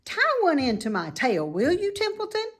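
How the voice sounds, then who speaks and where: Excitable young storybook piglet, male, played by a woman: high, swooping, naive and boastful. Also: moderate pace, full character voice. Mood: cheerful, determined. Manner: excitable, wide-eyed exclamations and questions.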